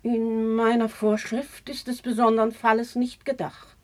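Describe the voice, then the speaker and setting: A woman's voice: on the high side, little pitch movement, unhurried, darkish tone, close to an everyday voice. A woman playing a stiff, rule-bound duchess in 1950s radio drama, speaking formally and pedantically. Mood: prim, disapproving. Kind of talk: 1950s German classical radio drama acting.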